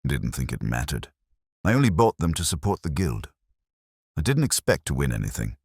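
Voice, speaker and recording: A man voicing a lively, composed nineteen-year-old female florist's assistant in a mystery story, casual and matter-of-fact. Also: extremely low pitch, normal speed, slightly rough, no room sound, clean studio sound, full character voice.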